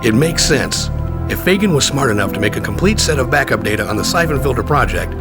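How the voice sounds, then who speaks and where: An actor playing a determined, terse secret agent in a video game cutscene, speaking matter-of-factly. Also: male voice, medium pitch, expressive, fast, over loud music, close to an everyday voice. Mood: low, serious. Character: male American secret agent, determined and terse action hero.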